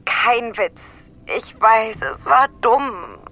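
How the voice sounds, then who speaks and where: A nervous, guilt-ridden female astronaut in a space radio drama, speaking slowly in a high, bright, hushed voice. She hesitates often, and a faint hiss sits under her. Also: exaggerated voice. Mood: sheepish. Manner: whispering, halting, sheepish. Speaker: astronaut crew member in an audio drama. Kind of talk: audio drama acting.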